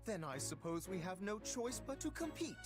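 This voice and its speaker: A proud young nobleman from a video game. He speaks pompously in florid, formal sentences with a lively, swooping melody. Music is clearly audible behind him. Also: high voice, moderate pace. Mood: indignant, boastful. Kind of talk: video game character acting.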